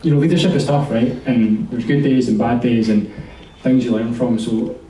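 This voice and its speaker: A man moderates a panel in a light, joking manner. He sounds set back from the mic, with the hall's echo and a clear wash of room noise around him. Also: medium pitch, fairly even intonation, normal speed, mellow, distant mic. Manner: breezy, joking.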